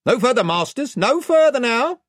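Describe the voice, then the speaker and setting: A pompous storybook chief, played by a male reader, folksy and long-winded. His delivery is very animated, rising and falling as he rambles on. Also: very high voice, moderate pace, dry recording, studio quality, voice pushed up, heavily acted. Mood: blustering.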